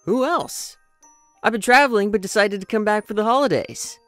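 A woman voicing a young male cartoon hedgehog hero, cocky yet shy, expressive and easily flustered, in an amateur comic dub. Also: medium pitch, moderate pace, dry recording, soft background music, lightly acted. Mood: concerned. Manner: expressive, swinging from smug to flustered.

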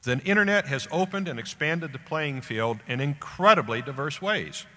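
An older American man, around seventy, delivering a formal scripted commencement address at a podium microphone in deliberate, emphatic phrases. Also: on the high side, expressive, pushed and bright. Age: older, about 70. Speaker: guest speaker at a graduation ceremony. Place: large indoor auditorium stage at a podium. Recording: echoey hall public-address sound.

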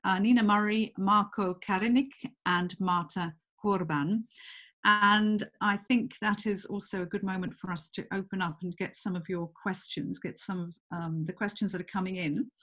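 A woman's voice: mid-range voice, steady tone, normal speed, a bit bright. A woman in her fifties with a British accent, hosting an interview over a video call and reading out audience questions in a friendly way. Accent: British English. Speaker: interviewer and host. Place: room with bookshelves at home.

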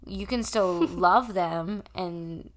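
Earnest low-voiced young woman. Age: early 20s. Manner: earnest, reflective, with pauses and restarts. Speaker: guest. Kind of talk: casual faith podcast conversation. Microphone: desk condenser mic.

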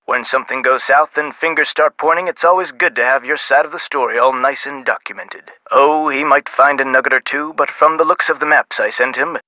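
A chatty, guarded survey clerk in an adventure game. The voice is slightly high, very bright and close, with a dry office-bureaucrat manner. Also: male voice, dry recording, low-quality recording. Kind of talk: video game voice acting, phone dialogue.

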